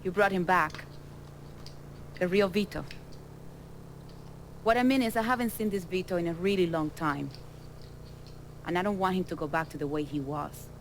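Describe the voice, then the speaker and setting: A woman acting as a shrewd, wary smuggling boss in a crime game. Her voice is on the low side, firm and businesslike, with faint ambient noise around it. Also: moderate pace, long pauses, lightly acted, rough recording. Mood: insistent. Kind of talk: dramatic video game dialogue.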